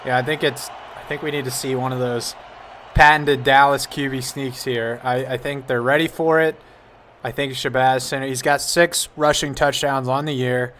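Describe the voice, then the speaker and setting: Male color commentator, mid-pitched voice, chatty and rambling, casual delivery. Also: steady tone, moderate pace, a bit bright, slight background noise. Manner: chatty, rambling, with frequent restarts and "you know".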